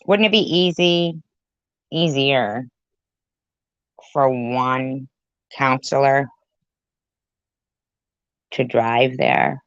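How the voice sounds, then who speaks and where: Slow, blunt woman commenting. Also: a bass voice, a bit bright, clear, resonant tone, no room sound. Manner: blunt, sarcastic, drawn-out remarks. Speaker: commentary YouTuber. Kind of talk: reaction commentary. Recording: dry, muffled lo-fi sound.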